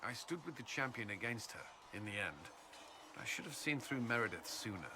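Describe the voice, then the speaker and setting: A man acting a stern, weary military commander in a video game, speaking in a formal, measured way. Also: medium pitch, animated, noisy background, close to an everyday voice. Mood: grave, reflective. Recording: music behind the voice.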